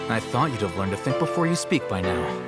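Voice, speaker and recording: A cool, aloof strategist. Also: male voice, on the low side. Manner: calm, measured, dryly superior. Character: calm, aloof adult male strategist. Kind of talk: game character demo dialogue. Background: loud game music.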